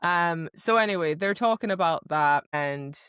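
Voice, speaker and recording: A woman's voice: lowish voice, normal speed, a bit bright, clean tone, no room sound. Woman chatting casually, close dry sound. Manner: wry, joking asides. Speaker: podcast co-host. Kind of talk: casual book discussion.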